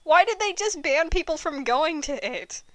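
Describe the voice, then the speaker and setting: A woman with a high voice and a dry, close sound chats casually as she reacts to stories. She comes across as exasperated and sweary, in a comedic way. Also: expressive, normal speed, no room sound. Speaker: fanfiction reader and commentator. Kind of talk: casual comedic commentary with read-alouds.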